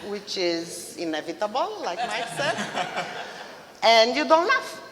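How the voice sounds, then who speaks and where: A woman in her fifties from Romania with a Romanian accent, giving a mother-of-the-groom wedding toast in a loud voice, laughing between lines. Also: medium pitch, very animated, slow pace, a crowd in the background. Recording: reverberant hall.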